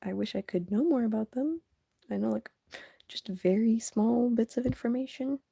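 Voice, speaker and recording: A young woman chatting casually on a live stream while she draws, soft and half-whispered, sniffly, her voice going hoarse. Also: on the high side, normal speed, no room sound. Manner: soft, often half-whispered, hesitant and rambling.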